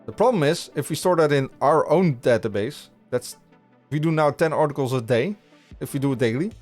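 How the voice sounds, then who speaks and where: A man's voice: on the high side, lively intonation, fairly quick, darkish tone, faint music behind. A man from the Netherlands whose first language is Dutch, hosting a casual live coding stream and talking through his plans with viewers, with frequent restarts and fillers. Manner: rambling, many restarts, chatting with viewers. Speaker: livestream coding host. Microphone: studio podcast mic.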